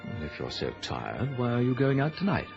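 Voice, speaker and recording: Creaky, swooping, shifty charmer. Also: male voice, mid-range voice, huge pitch swings, fairly quick, darkish tone, faint music behind. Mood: flustered, coaxing. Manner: hesitant, wheedling, with throat-clearing. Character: shifty, flirtatious businessman, smoothly making excuses. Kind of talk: radio play acting. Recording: dull old radio recording.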